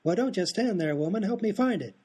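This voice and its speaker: Man acting daft male doctor, rambling, oblivious, rather high mellow voice, radio comedy. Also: lively intonation, a little fast, slightly rough. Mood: oblivious, breezy. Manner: rambling, oblivious, matter-of-fact. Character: daft, absent-minded male hospital doctor, cheerfully off-topic. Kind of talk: absurdist radio comedy sketch.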